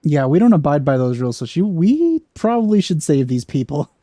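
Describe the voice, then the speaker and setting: A let's player giving casual, deadpan, laid-back gameplay commentary. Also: medium pitch, brisk, no room sound, clean studio sound.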